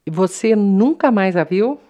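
A woman acting in a Brazilian radio drama as a composed, probing radio host, measured, with a Brazilian accent. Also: mid-range voice, animated, warm tone, muffled recording, natural voice. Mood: cool, probing.

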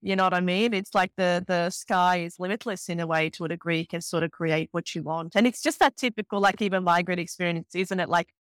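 A woman's voice: fairly low pitch, steady tone, a little fast, few pauses, no echo, studio quality. A woman in her thirties hosting a podcast, chatting casually and encouraging her guest with frequent affirmations. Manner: warm, encouraging, lots of "mm" affirmations. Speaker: podcast host. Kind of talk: casual interview chat.

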